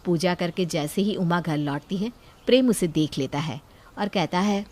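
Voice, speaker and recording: A woman's voice: lowish voice, normal speed, darkish tone. A woman storyteller reading a scripted moral story as narrator.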